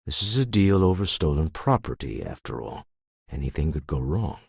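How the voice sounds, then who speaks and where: An actor playing a shrewd, wealthy older businessman in a video game, proud and wry, with a husky voice and a dry, articulate, refined delivery. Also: a bass voice, very animated, moderate pace, darkish tone, dry recording, exaggerated voice. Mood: exasperated. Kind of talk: video game character dialogue.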